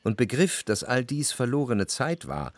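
An actor playing an anxious, jealous lover who recalls his life in measured, introspective radio drama narration. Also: medium pitch, lively intonation, no room sound, natural voice. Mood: brooding, introspective. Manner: measured, introspective, literary phrasing. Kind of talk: literary radio drama narration with quiet dialogue.

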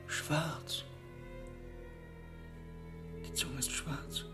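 An actor playing a learned, hesitant medieval monk herbalist in a radio drama, speaking in a deliberate, musing way. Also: male voice, mid-range voice, fairly even intonation, music in the background. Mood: grave, puzzled. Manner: deliberate, musing, with reflective pauses. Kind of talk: German radio drama acting.

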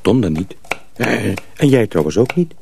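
An actor playing a resigned, good-natured, simple-seeming kitchen lad in a radio drama, with a husky, gravelly voice. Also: male voice, fairly low pitch, very animated, normal speed, mellow, slight background noise.

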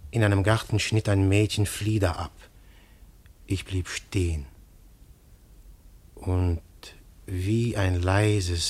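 An actor narrating in first person as a man waking from a dream, slow, hushed and reflective, in an old radio play. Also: low voice. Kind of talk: 1950s radio drama narration.

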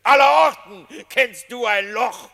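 A man's voice: very high voice, unhurried, vocal fry, pushed and bright, heavily acted. An actor playing a haughty, amused king of animals in a classic radio play, declaiming verse in a commanding, theatrical way. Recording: long reverberant hall.

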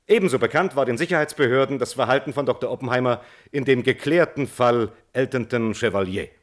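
An actor playing an earnest defence lawyer, formal and deliberate, making a plea in a German radio drama. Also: male voice, mid-range voice, lightly acted. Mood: earnest, insistent. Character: measured, earnest defence lawyer pleading at a hearing.

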